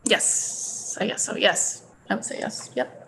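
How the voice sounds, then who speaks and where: A woman's voice: unhurried, bright, forceful tone, slight background noise, rough recording. A woman answering a committee's questions as a witness, with short, affirming replies. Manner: brief, affirming answers with yeahs. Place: legislative committee hearing.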